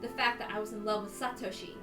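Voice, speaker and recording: A woman's voice: medium pitch, fairly even intonation, fast talker, reverberant. A woman reading a visual novel aloud as a dramatic first-person narration. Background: background music.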